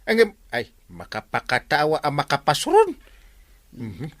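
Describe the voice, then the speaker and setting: A man acting an excitable high-pitched village captain. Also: a little slow, dry recording, exaggerated voice. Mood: exasperated. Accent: Ilocano. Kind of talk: Ilocano comedy radio drama acting.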